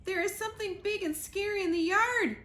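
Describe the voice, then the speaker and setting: A woman voicing a panicked, boastful male squirrel who shrieks in a high, breathless voice. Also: brisk, echoey room. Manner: high shrill shouting, breathless.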